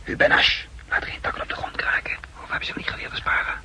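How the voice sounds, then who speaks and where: An actor playing a cocky, wary paratrooper scout in a 1960s radio drama, terse and confident. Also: male voice, very fast, whispered, close to an everyday voice. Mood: hushed, wary. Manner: terse, confident soldier talk. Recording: muffled old 1960s radio recording.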